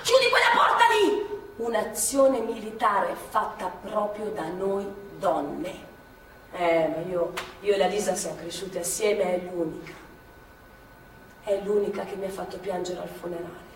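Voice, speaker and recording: A woman's voice: a little high, fairly bright tone. An actress playing a plain-spoken, fiery former partisan woman, telling war memories in an emphatic, colloquial theatrical monologue. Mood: excited, fierce, then tender, wistful. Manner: colloquial, emphatic, halting for effect. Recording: echoey theatre, distant mic, faint noise.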